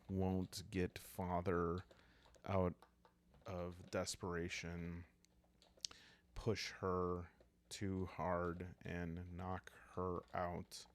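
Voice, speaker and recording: Calm low-voiced man with gravelly creak. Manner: calm, hushed, musing aloud. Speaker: solo role-playing game host. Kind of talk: narrating a solo journaling game for relaxation.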